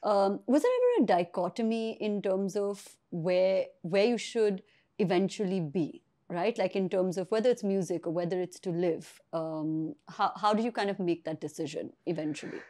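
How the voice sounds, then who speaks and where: A woman interviewer with a mid-range, mellow voice. She asks questions attentively and affirms her guest often. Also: mid-range voice, normal speed. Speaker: interviewer, host.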